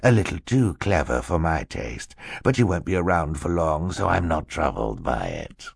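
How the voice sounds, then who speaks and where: A man voicing a sly, menacing villain in an audiobook, speaking in a slow, sneaky, sinister way. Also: rumbling low, sing-song, vocal fry, husky, no room sound, studio quality, exaggerated voice. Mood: mocking menace.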